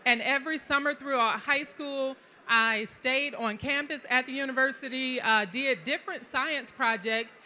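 A middle-aged woman from Detroit, a city council member, speaking in an earnest, measured way on a conference panel into a handheld mic. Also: sits high, bright, forceful tone. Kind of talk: panel discussion answers. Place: conference stage, indoors.

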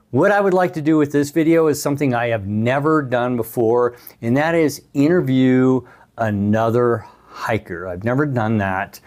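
A man in his early sixties speaks as a vlogger and interviewer in casual, conversational style. His pitch sits in the middle for a man. He is close on a clip-on mic in a small room. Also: a little mellow. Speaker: vlogger, thru-hiker interviewing another hiker. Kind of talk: casual vlog talk to camera. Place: small hotel room, indoors. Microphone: clip-on lavalier on the chest.

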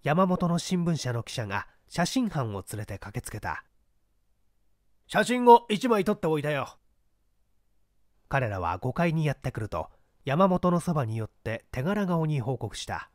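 A man reading literary mystery fiction aloud in a measured, theatrical storytelling manner. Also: medium pitch, big pitch swings, no echo, clean studio sound. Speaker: audiobook reader and small-theatre actor. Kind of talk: dramatized literary mystery narration.

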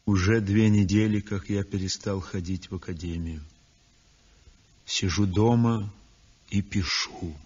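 Brooding, tormented painter for a 1960s radio play. Husky, very slow delivery, grave and introspective. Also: male voice, lowish voice, fairly even intonation, a little mellow. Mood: weary, brooding. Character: brooding, tormented, exhausted male realist painter. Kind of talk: 1960s radio drama monologue. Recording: muffled 1960s radio recording.

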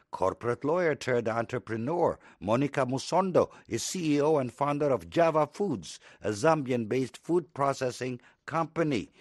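A man reading scripted news narration in an even, measured delivery. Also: medium pitch, no room sound, clean studio sound.

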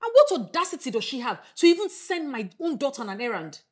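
A woman's voice: mid-range voice, animated, fairly quick, breathy edge. A woman playing a stern, indignant mother scolding her younger sister in a film drama. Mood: stern, exasperated.

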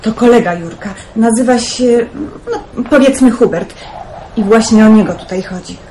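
A woman's voice: fairly high pitch, normal speed, dark tone, a little hiss or hum, close to an everyday voice, rough recording. A woman playing an urgent, persuasive young woman in a radio drama, talking with breathless laughter.

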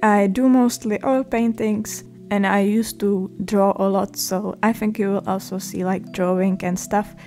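A young woman with a Czech accent narrates slowly, pausing often and restarting her sentences. Her voice is mellow and soft at an ordinary pitch. Faint music plays underneath. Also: fairly even intonation. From Czech Republic. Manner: halting, many fillers and restarts. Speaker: art vlogger. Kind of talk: voice-over narration.

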